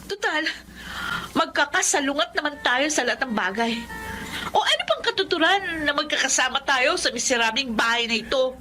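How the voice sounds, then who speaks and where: A scornful, ambitious young daughter who is fed up with poverty. Her voice is very high and bright, full of emotional defiance in Filipino radio drama style. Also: animated, normal speed, faint music behind, low-quality recording. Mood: bitter.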